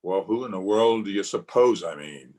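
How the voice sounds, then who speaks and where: A man's voice: fairly low pitch, expressive, normal speed, darkish tone, close to an everyday voice. A man playing an exasperated but fond husband in old-time radio drama, with a testy, theatrical delivery. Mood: irritable. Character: exasperated, fond husband of nine years, sparring with the maid. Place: at home, recorded over video call.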